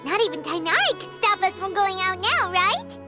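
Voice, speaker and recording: An actress playing a tiny childlike fairy companion in a video game, squeaky, chirpy and eager. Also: female voice, sing-song, moderate pace, pushed and bright, full character voice. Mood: cheerful, eager. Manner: chirpy, eager, third-person cute talk. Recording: music behind voice.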